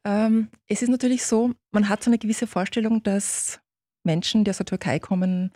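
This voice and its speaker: A woman from Turkey, a native Turkish speaker, is a guest author on a radio show. She explains things in a friendly, patient way and often confirms with a short agreeing word. Her voice is mellow and warm, recorded dry and close on a studio mic. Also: mid-range voice, fairly even intonation, moderate pace, no room sound. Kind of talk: radio interview.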